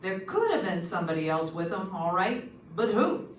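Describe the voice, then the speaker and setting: A woman's voice: medium pitch, very animated, moderate pace, close to an everyday voice. A woman playing a seasoned, plainspoken homicide lieutenant in an old-time radio detective drama, matter-of-fact and colloquial. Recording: roomy, distant stage recording, faint noise.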